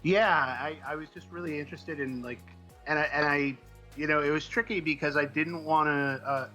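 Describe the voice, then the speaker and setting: A man's voice: on the high side, fairly even intonation, normal speed, bright, forceful tone, soft background music, poor audio. An American man from the Midwest telling stories casually as an interview guest, in a hesitant, discursive way. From Midwestern United States. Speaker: comic book writer, interview guest. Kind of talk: casual conversational storytelling.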